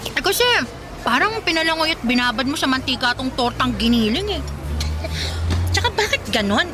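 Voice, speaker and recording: A woman's voice: high-pitched, lively intonation, moderate pace, music in the background. An actress playing a jealous, bossy and dramatic female eatery owner in a Tagalog radio drama, with sassy theatrical delivery. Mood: smug, mocking.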